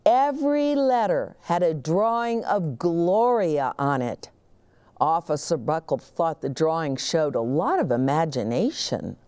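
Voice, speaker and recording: A woman hosting storytime, reading a children's picture book aloud expressively, with dramatic pauses and emphasis. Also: low-pitched, big pitch swings, dark tone, clear, resonant tone. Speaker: children's storytime reader and host.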